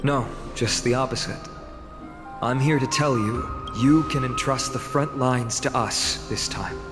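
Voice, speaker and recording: A man's voice: medium pitch, measured pace, close to an everyday voice. A man playing an earnest, loyal young hero in video game dialogue, speaking sincerely and conversationally. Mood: earnest, thoughtful. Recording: reverberant, music behind.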